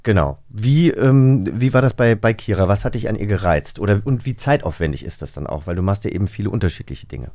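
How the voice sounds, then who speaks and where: A man hosting a podcast interview, relaxed and repeating words as he forms his questions. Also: fairly low pitch, a little fast, hardly any pauses. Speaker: podcast host. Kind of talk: casual interview chat.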